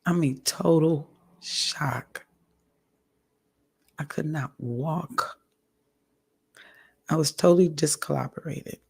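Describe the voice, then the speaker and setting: A woman with a deeply raspy, gravelly voice talks slowly and haltingly, pausing often to clear her throat. Her voice is husky and strained from hoarseness as she gives a candid personal update to her audience. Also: a little mellow. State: hoarse, recovering from a stroke. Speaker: vlogger. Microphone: audio only, home recording.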